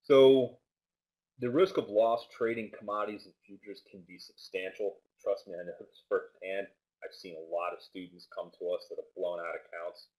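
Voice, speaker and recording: Man, deep voice, loud, casual webinar presenter, lots of fillers, mic set back. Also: fairly even intonation, moderate pace, poor audio. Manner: loose, filler-heavy, restarts often. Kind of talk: casual live webinar talk with screen share. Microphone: desk microphone set far back.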